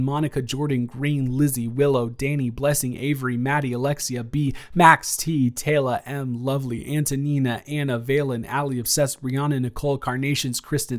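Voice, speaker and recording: A man with a mid-pitched voice presents supporter shout-outs for his audio channel. He reads in a listing, announcer-like style, as a creator addressing his listeners.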